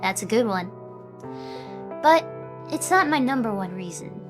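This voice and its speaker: A woman playing a cute young streamer idol who is stressed and defiant yet wavering, in an animated drama. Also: fairly high pitch, unhurried, music in the background. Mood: gentle, curious. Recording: noticeable background noise.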